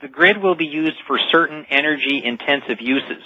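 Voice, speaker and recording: A man's voice: on the high side, normal speed, sharp tone, dry recording. A man speaking as an expert guest in a radio interview, explaining things emphatically and step by step. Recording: dull lo-fi radio sound.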